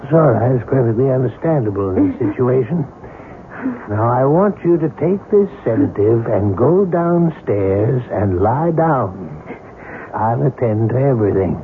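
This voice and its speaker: An actor playing an earnest, soothing but flustered doctor in a 1970s radio drama. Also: male voice, mid-range voice, lively intonation, unhurried, natural voice. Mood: soothing, firm. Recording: muffled, noisy 1970s radio broadcast.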